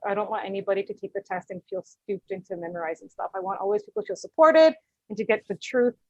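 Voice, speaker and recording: A woman from Vancouver with a Canadian accent, a guest English teacher explaining things in a relaxed conversational way with fillers. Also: mid-range voice, fast, no echo, lo-fi sound. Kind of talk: casual podcast interview.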